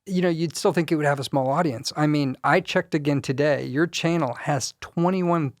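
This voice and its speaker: A man's voice: on the high side, normal speed, no room sound, clean studio sound. A man hosting a podcast, interviewing in a conversational style.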